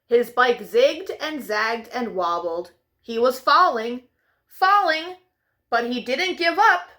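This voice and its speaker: Bright, high-pitched woman reading to children. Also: slow. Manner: expressive, playful with sound effects. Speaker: children's storytime host. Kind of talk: animated picture-book read-aloud for young children.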